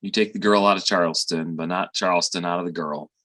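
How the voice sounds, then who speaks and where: A man playing a gentle, devoted middle-aged Southern father in stage-play dialogue, speaking in a fond, coaxing way. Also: low voice, steady tone, quick pace. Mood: teasing, fond.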